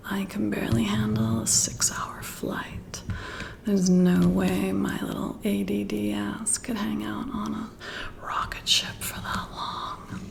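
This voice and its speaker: A woman speaks in a hushed half-whisper for ASMR, intimate and musing. Her pace is slow and unhurried, and her voice breaks into heavy creak. It feels like a casual one-sided chat with the listener. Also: medium pitch. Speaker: ASMR roleplay performer.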